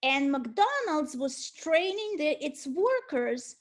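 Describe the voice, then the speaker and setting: A woman's voice: high-pitched, sing-song, slow. A middle-aged woman from Ukraine with a Russian accent, giving a university lecture with frequent hesitations and restarts. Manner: hesitant, many restarts and ums. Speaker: art history lecturer.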